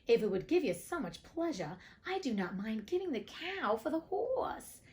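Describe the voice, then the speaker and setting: Woman playing an obliging countryman, raised animated pitch. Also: moderate pace. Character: agreeable countryman striking a bargain in fairy tale.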